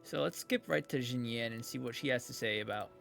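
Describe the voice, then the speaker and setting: A man's voice: mid-range voice, a little fast, creaky, fairly bright tone, faint music behind. A man hosting a video in a casual, rambling, informal reaction commentary style. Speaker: video host commenting on game voice lines.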